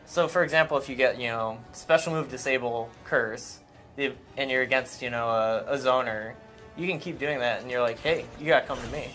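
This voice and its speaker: Mid-pitched man casually explaining game mechanics. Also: fairly quick, a bit bright, faint music behind. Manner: informal, matter-of-fact. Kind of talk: casual technical gameplay explanation.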